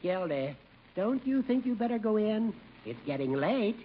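Old-time radio comedy judge: older, high for a man, chatty, teasing, theatrical, some recording noise. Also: very animated, moderate pace, phone-quality sound. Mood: fussy, cheerful. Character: genial, fussy older male judge, teasing friend. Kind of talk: 1950s radio comedy acting.

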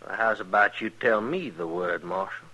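An actor playing an insolent, drawling cowboy gunman with a rough, husky voice in a radio western. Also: on the low side, lively intonation, normal speed, bright, forceful tone, rough recording. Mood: insolent, goading. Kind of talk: 1950s radio western acting.

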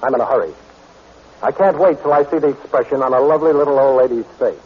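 An actor playing a suave, unflappable amateur sleuth in a 1940s radio detective drama, with a husky voice and a wry, mock-polite manner. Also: male voice, mid-range voice, quick pace, natural voice. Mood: gloating. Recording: dull old radio recording.

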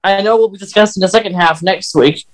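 A man co-hosting a podcast in casual conversation, talking in a halting way. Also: sits high, low-quality recording. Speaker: podcast co-host.

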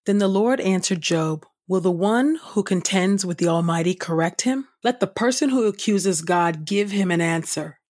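A woman host reading scripture aloud from a script in a measured, solemn, clearly enunciated way. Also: on the low side, a little mellow. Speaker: podcast host and scripture reader. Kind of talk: scripted audio Bible reading.

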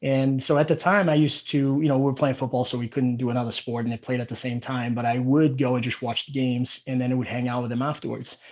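A man's voice: mid-range voice, flat delivery, fast talker, hardly any pauses, darkish tone. Casual man from Portugal, slightly dull audio. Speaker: interview guest, business owner. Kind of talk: casual chat, personal storytelling.

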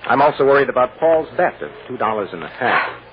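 A man acting a shrewd, assured defense lawyer in an old radio drama, deliberate and coaxing with a wry edge. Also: medium pitch, sing-song, lightly acted. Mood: wry, teasing. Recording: muffled, noisy old radio recording.

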